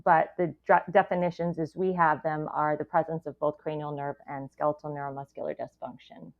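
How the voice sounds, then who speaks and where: A woman's voice: low-pitched, level tone, brisk, gravelly. A woman speaking as a guest medical expert, giving a clinical explanation over a slightly lo-fi connection.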